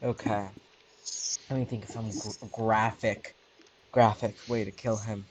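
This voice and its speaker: A man's voice: lowish voice, level tone, a little slow, slight background noise. A man playing a tabletop roleplaying game, casually describing his character's actions and hesitantly thinking aloud.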